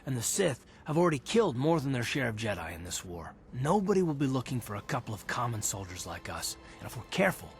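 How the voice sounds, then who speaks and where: A man's voice: mid-range voice, big pitch swings, fast talker, few pauses, soft background music. An actor playing a resolute, weary male Republic soldier, speaking earnestly in a dramatic video game style, explaining with some urgency. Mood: earnest, determined.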